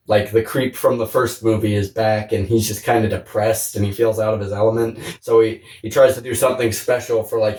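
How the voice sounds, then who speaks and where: A man's voice: fairly low pitch, a little mellow. A man co-hosting a podcast in casual, comedic chat, rambling and swearing freely. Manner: rambling, profane. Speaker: podcast co-host. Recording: roomy, distant microphone.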